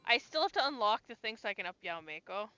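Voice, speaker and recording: A woman's voice: mid-range voice, big pitch swings, quick pace, pushed and bright, muffled recording, a little hiss or hum. A woman streaming a video game, chatting offhand with viewers and swearing casually as she plays. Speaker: gaming streamer. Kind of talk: casual live-stream chat while playing.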